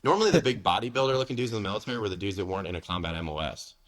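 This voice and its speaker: A man's voice: on the low side, lively intonation, rapid-fire, a bit bright. A man in his forties from the United States, talking candidly as a fitness coach in a casual interview, dropping "man" and "you know" often. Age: 40s.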